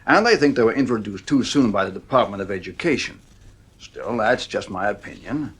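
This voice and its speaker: Blunt, uneasy psychiatrist, lively pitch swings. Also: male voice, fairly quick. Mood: matter-of-fact. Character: blunt, uneasy male psychiatrist and family friend. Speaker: radio drama actor. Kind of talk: 1950s radio drama acting.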